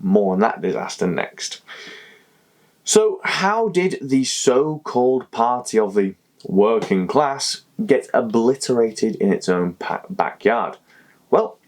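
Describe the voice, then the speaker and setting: Wry low-voiced commentary host. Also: male voice, darkish tone. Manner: emphatic, wry, jokey asides. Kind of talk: political explainer commentary.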